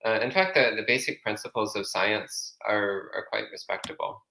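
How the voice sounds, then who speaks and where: Plain-spoken man, gravelly, in an echoey room. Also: on the low side, normal speed, bright, forceful tone, slight background noise. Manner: plain-spoken, uses everyday analogies. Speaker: monk answering questions. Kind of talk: casual explanatory advice.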